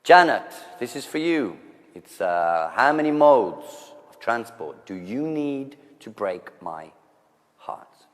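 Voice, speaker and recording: Man doing a low, heartbroken lounge singer, droopy and self-pitying, echoey hall, faint music. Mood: crooning. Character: mopey lovelorn male lounge singer.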